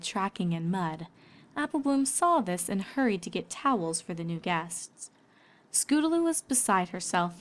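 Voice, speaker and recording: A woman reading scripted story narration as a storyteller and voice actor. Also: lowish voice.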